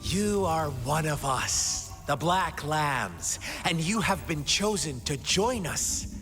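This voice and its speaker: An actor playing a sinister, mocking cult overseer in a video game, speaking in a theatrical, smugly courteous way. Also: male voice, a little high, a bit bright, big-room sound, full character voice. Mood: grandly enticing. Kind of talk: dramatic video game voice acting.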